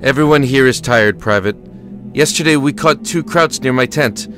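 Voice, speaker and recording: An actor playing a tired but steady American army lieutenant in a war audio drama, with measured, reassuring authority. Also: male voice, medium pitch, faint music behind, close to an everyday voice. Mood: steady, weary.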